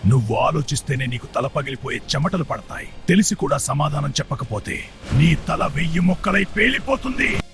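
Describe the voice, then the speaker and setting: A man voicing a taunting, menacing ghost in an animated storybook tale, theatrical and mocking. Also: a little high, fairly quick, music in the background, natural voice. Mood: ominous. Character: Betala, a taunting menacing ghost on the king's back.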